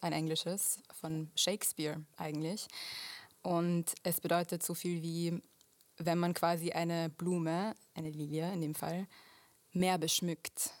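A young woman from Vienna speaks in a radio studio. She answers thoughtfully, pausing and rephrasing as she searches for words. Her voice sits low and stays fairly level, close and dry. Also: dry recording. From Vienna, Austria. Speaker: musician guest.

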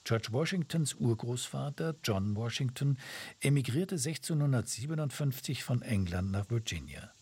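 Husky-voiced male documentary narrator. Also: medium pitch, lively intonation, normal speed, darkish tone, no echo.

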